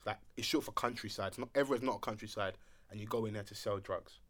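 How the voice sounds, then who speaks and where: A man's voice: on the low side, quick pace, fairly bright tone. A young man, chatting animatedly on a podcast and telling stories in slang. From Britain. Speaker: podcast host. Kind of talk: casual podcast chat.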